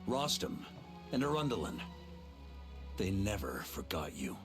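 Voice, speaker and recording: An actor playing a seasoned, weary but steadfast knight commander in a dramatic video game, speaking in a measured, solemn way with wry ease. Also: male voice, low-pitched, sing-song. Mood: wistful. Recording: loud game music underneath.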